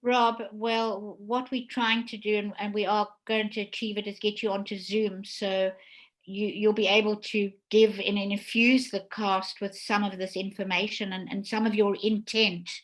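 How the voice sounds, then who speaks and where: A woman hosts a casual online panel with a mid-pitched voice. She speaks effusively and conversationally, like a theatre director addressing guests and audience. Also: little pitch movement, normal speed, rough recording. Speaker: host, theatre producer and director. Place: indoors, online video call.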